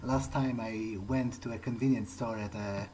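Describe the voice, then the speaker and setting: A man's voice: lowish voice, fairly even intonation, moderate pace, mellow, a little hiss or hum, low-quality recording. A man improvising in character as he plays a video game, speaking in a hesitant, musing, understated way. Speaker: let's player.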